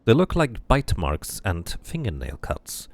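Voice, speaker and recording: A man streaming a game, mixing casual commentary with expressive read-aloud narration. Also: lowish voice, measured pace, dry recording.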